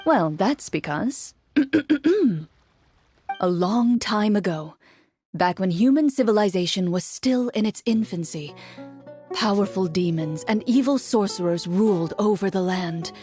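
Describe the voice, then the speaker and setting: Composed game master, video game, measured storyteller, mid-range voice, soft music underneath. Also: female voice, medium pitch, expressive. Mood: solemn, storytelling. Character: composed, cool-headed woman running a tabletop fantasy game. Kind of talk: scripted fantasy narration, game voice acting.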